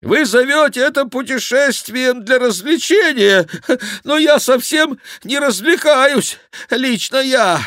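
A man's voice: very high-pitched, animated, slow, fairly bright tone, no echo, clean studio sound, pitched above the performer's own voice, exaggerated voice. A man voicing a panicky, whining monk in an audiobook, crying out plaintively and full of grievance. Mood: breathless complaining. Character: panicky, whining monk, clumsy rider, aggrieved and fearful. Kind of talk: audiobook character voice.

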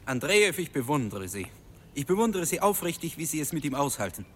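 A man's voice: on the high side, big pitch swings, quick pace, breathy edge. An actor playing an agitated young law student who resents his father, speaking emphatically in a German radio drama. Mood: agitated, admiring. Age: young adult.

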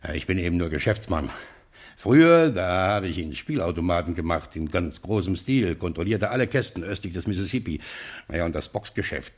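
A man's voice: sits low, expressive, brisk. An actor playing a boastful, self-assured mafioso businessman in an old radio crime play. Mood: smug. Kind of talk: 1950s radio drama acting.

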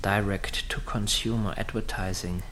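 A man's voice: fairly low pitch, fairly even intonation, unhurried, fairly bright tone. A man in a radio drama playing a captor who questions his prisoner, controlled, terse and demanding. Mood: probing. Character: insistent male captor interrogating a businessman, controlled and demanding.

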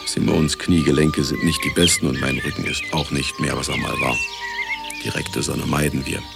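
An actor playing a jaded older male scientist in a radio play, narrating in a wry, regretful way with a gravelly voice. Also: a bass voice, little pitch movement, normal speed, full character voice. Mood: regretful, reflective. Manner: wry, dismissive, reflective. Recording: studio radio play with music behind.

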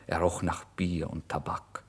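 A man narrating a literary first-person story in a brooding, expressive way, moving between hushed and emphatic delivery. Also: low-pitched, measured pace, husky. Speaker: audiobook narrator.

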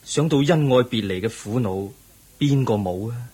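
An actor with a Hong Kong Cantonese accent playing a pensive, earnest young crown prince in a radio drama, speaking formally and reflectively. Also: male voice, mid-range voice, expressive, moderate pace, a little mellow, husky. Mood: calm, resolute.

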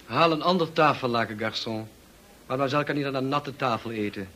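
An actor playing a brusque, dryly witty upper-class gentleman in a radio play, clipped and commanding, on a slightly noisy old recording. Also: medium pitch, brisk, natural voice. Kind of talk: radio drama acting.

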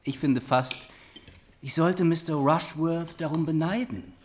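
An actor playing a suave, self-assured young gentleman of fashion in a radio drama. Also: fairly high pitch, measured pace, slight background noise, natural voice.

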